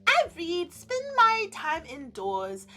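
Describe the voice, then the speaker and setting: A woman voicing a reserved, serene male winter spirit in a romance game, speaking in a measured, earnest, formal way. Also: very high voice, wildly swooping pitch, pushed and bright, faint music behind, heavily acted. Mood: calm, matter-of-fact.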